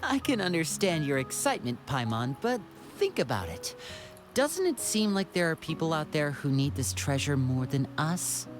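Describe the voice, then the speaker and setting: A woman's voice: deep voice, very animated, moderate pace, music in the background. An actress voicing a gracious, thoughtful young woman adventurer in a video game.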